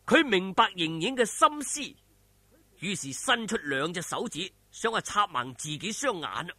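A man with a Cantonese accent telling a martial arts story in a dramatic, animated, colloquial and suspenseful way. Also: sits high, normal speed, no echo.

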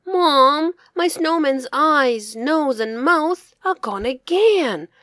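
A woman acts out a little storybook boy, sad and plaintive. The voice is high and very lively in pitch, speaking in simple childlike phrases. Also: takes it slowly, dry recording, studio quality, full character voice. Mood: upset. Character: sad little boy upset about his snowman.

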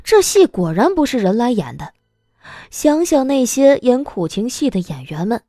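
A woman giving expressive scripted narration as an audiobook narrator. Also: a little high, moderate pace, dry recording, studio quality, soft background music.

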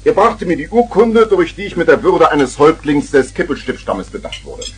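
An actor playing a jovial, tippling old uncle who tells tall tales theatrically in a 1970s children's radio play. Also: male voice, fairly high pitch, lively intonation, normal speed, breathy edge. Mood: storytelling.

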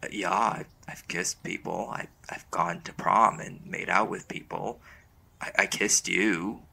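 A man acting as a shy, smitten young college mage in an intimate boyfriend roleplay, speaking casually and haltingly. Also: sits low, fairly even intonation, creaky, sharp tone, breathy edge, exaggerated voice. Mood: shy, confessional. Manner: hesitant, halting, casual slangy delivery.

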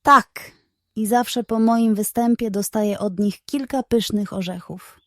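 A woman's voice: medium pitch, unhurried, dry recording, studio quality, lightly acted. A woman voicing a vain, naive hen who talks in a boastful, self-satisfied way. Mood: proudly boasting. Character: vain, naive hen proud of her singing.

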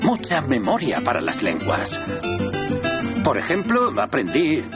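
A man's voice: medium pitch, wildly swooping pitch, a little slow. An actor dubbing a bearded man who brags about his languages and later yelps in pain while stuck under a table. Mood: boastful. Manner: boastful, flustered, yelping. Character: bearded man, boastful amateur cook. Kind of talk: Spanish dub of a TV drama. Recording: slightly dull TV audio over music.

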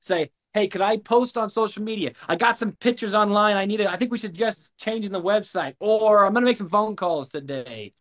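A man coaching a sales team on a video call, pushy and animated as he drills closing lines. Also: sits high, fairly quick, few pauses.

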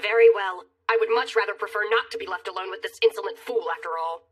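A woman's voice: mid-range voice, fairly even intonation, quick pace, fairly bright tone, husky, far from the mic. A woman playing a proud, hot-tempered demon queen with haughty, theatrical royal diction in an anime dub. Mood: grudging, haughty. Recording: dramatic music behind the voice.

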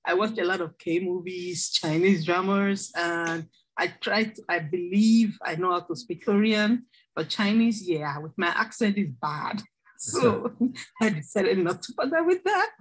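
A doctoral student introducing herself in an online class, chatty and laughing, with restarts. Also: female voice, lowish voice, lively intonation, measured pace, husky, rough recording. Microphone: video call.

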